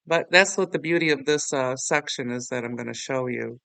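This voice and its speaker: A man hosting a software tutorial in a casual, rambling, self-deprecating way. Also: mid-range voice, a little fast, vocal fry, dry recording. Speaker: tutorial host.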